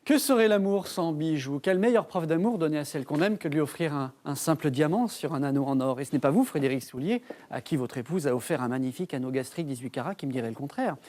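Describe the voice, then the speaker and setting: A man's voice: fairly high pitch, fast talker, darkish tone. A man doing a jokey TV report, interviewing a guest with teasing, mock-provocative questions.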